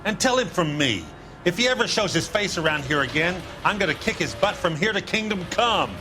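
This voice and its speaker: An actor playing a slick, evasive 1940s American used-car dealer in a crime game, with smooth, defensive salesman patter. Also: high-pitched, expressive, fairly quick, noisy background. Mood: defensive, grudging. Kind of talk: dramatic game acting, period crime story.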